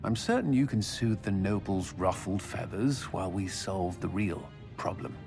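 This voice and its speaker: An animated, exasperated military commander. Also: male voice, deep voice, moderate pace, creaky, music in the background. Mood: curt, sardonic. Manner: exasperated, sardonic. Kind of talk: video game dialogue acting.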